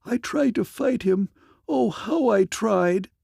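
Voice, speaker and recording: A man's voice: fairly high pitch, expressive, unhurried, gravelly, dark tone, breathy edge, no room sound, clean studio sound, pitched above the performer's own voice, full character voice. A man voicing a weakened, frightened captive woman in a fantasy story, speaking weakly and plaintively. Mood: anguished. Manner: weak, plaintive.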